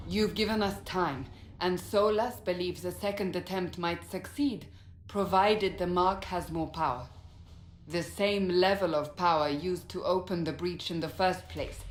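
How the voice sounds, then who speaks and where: An actress playing a stern, dutiful warrior woman in a video game cutscene, speaking in a firm, formal, measured way. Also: fairly low pitch, lightly acted. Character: stern, dutiful warrior woman leading a fantasy war council. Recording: roomy echo, noisy ambience.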